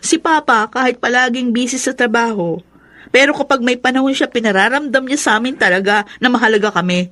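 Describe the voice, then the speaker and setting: An actress plays a young Filipino eldest daughter in a radio drama, her voice pitched high. She is fed up with home yet protective as a big sister. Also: a little fast, a bit bright, dry recording, close to an everyday voice. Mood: frustrated, hurt.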